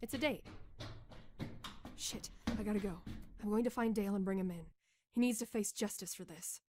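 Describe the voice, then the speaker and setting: A woman's voice: mid-range voice, moderate pace, darkish tone, slight background noise, lightly acted. An actress playing a tough, defiant young female soldier in a radio drama, plucky and wry.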